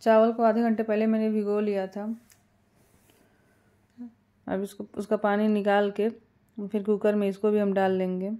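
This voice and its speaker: Mellow-voiced woman explaining home cooking. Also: medium pitch, moderate pace, long pauses. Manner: calm step-by-step instructions with small fillers. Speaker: cooking channel host. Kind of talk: home cooking tutorial voice-over.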